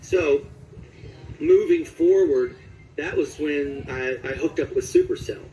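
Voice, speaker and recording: A man giving a casual interview as a voice actor, speaking haltingly as he searches his memory. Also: fairly high pitch, dark tone, a little hiss or hum, poor audio.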